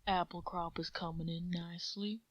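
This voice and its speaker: A woman voicing a big, easygoing male farm pony in a story, terse and unhurried. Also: fairly low pitch, steady tone, highs cut off, lightly acted. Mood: calm, friendly.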